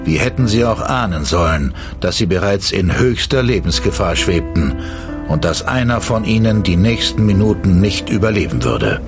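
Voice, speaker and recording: A man narrating a horror radio drama in a measured, ominous way. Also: sits low, lively intonation, music in the background.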